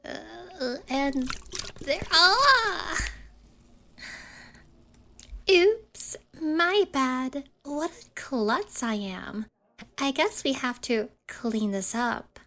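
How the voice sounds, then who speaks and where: High-pitched, teasing schoolgirl sidekick, acted by a woman. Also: animated, drawn-out words, bright, forceful tone, heavily acted. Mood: mock-innocent. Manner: mock-innocent, teasing. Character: playfully cruel delinquent schoolgirl, the bully's giggly sidekick. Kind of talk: ASMR roleplay acting.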